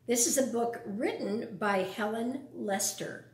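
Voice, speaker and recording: A woman's voice: fairly high pitch, a little slow, roomy sound, a little hiss or hum. A woman reading a picture book aloud to young children, adding casual explanations and questions. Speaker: storytime reader.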